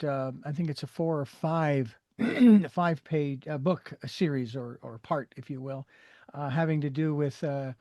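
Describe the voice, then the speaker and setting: A man's voice: fairly high pitch, darkish tone, dry recording. A man hosting an interview show, speaking in a rambling way with many fillers and restarts. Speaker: podcast host.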